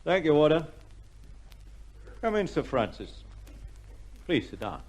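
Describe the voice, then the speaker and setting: An actor playing a grave, courteous prison governor with a deliberate, formal manner in an old-time radio drama. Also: male voice, a little high, very animated, slight background noise.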